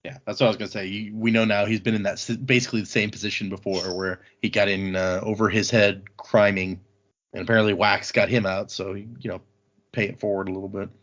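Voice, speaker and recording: A man's voice: fairly low pitch, little pitch movement, fast talker, fairly bright tone, muffled recording. A man hosting a podcast, recapping a book in a casual, informal way. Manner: informal, acts out quoted dialogue briefly. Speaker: podcast host.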